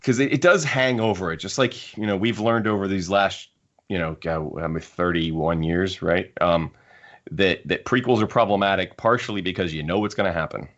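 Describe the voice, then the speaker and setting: Low-voiced man rambling through a casual discussion. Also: moderate pace. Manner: rambling, thinking aloud. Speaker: podcast host. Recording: dull lo-fi podcast audio.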